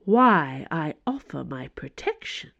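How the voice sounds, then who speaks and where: Lady doing an imperious older woman cardinal, slow n haughty, sarcastic schemer, audiobook, swoopy pitch, bit dull sound. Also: big pitch swings, vocal fry, a bit bright, dry recording, exaggerated voice. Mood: chilly threat. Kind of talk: audiobook character voice.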